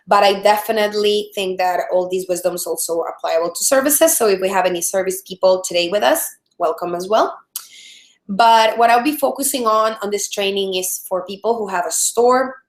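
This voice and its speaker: A woman in her thirties from El Salvador with a Salvadoran Spanish accent, hosting a live webinar training as a product strategist, friendly and full of fillers and restarts. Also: mid-range voice. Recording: roomy echo.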